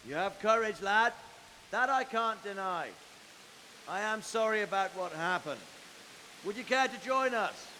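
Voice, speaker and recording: A man's voice: high voice, lively intonation, measured pace, long pauses, bright, forceful tone, full character voice. A man playing a commanding, wry medieval nobleman and soldier who projects with authority in a video game cutscene. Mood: stern. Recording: long reverb, faint rainy hiss.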